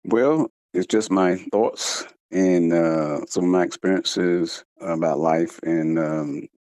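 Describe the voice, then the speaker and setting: A man speaking as a guest author in a reflective interview, earnest and halting, with a slight speech impediment that makes him repeat words. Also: low-pitched, a little mellow, no echo. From United States.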